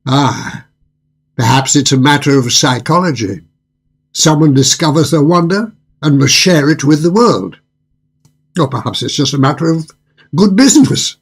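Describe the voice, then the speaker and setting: An actor playing a suave, faintly sinister restaurant owner in a radio drama, with a smooth, purring, deliberately polite and husky delivery. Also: male voice, on the high side, expressive. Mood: smooth, assured. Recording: music under the voice.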